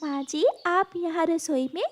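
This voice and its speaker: A woman's voice: very high voice, a little slow, a little hiss or hum, voice pushed up. A woman voicing a caring, protective mother sparrow in a cartoon with a motherly manner. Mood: touched. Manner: affectionate, motherly.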